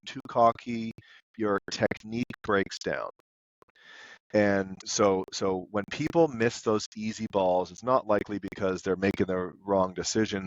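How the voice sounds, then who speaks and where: A man's voice: fairly low pitch, no room sound, muffled recording. A man giving coaching advice as an interview guest, in an explanatory and emphatic way. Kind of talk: instructive interview answers.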